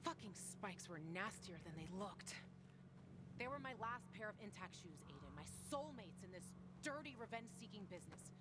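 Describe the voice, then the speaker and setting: A woman's voice: mid-range voice, animated, moderate pace, close to an everyday voice. An actress playing a tough young woman survivor in a video game, brusque, sarcastic and prickly. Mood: irritated. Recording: game audio with clear ambient noise.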